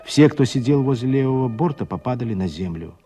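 A man narrating a literary radio drama as a measured, expressive storyteller. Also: mid-range voice, soft background music.

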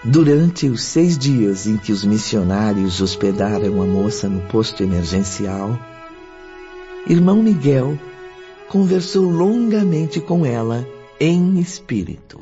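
Man as solemn storyteller, Brazilian, unhurried, lively pitch swings, formal radio drama narration. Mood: solemn, gentle. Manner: formal, deliberate. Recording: dull audio with music bed.

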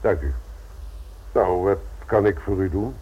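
An actor in a radio play voicing a brisk, guarded security firm manager, courteous but firm, with a husky voice. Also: male voice, low-pitched. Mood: businesslike. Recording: tinny, narrow-band old radio recording.

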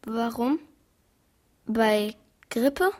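A woman's voice: fairly high pitch, very slow, a little mellow. A woman playing a guarded, innocent-sounding eleven-year-old girl in a German radio play, giving terse, evasive answers.

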